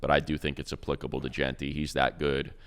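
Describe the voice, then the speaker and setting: A man's voice: very deep voice, monotone, quick pace, a bit bright. A man, a radio play-by-play announcer, chatting casually about football picks, rambling with restarts and repeated words. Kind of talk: casual sports chat.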